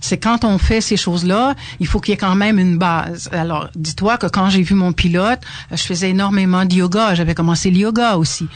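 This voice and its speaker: A woman's voice: on the low side, brisk, few pauses, dry recording. Earnest older woman on radio. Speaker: guest. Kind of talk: radio interview. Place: radio studio.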